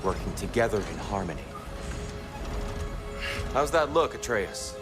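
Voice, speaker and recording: Game casting: gentle, learned god, low voice, measured and courteous, weary idealist, loud score behind. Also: male voice, sing-song, over music. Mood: calm. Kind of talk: video game voice acting.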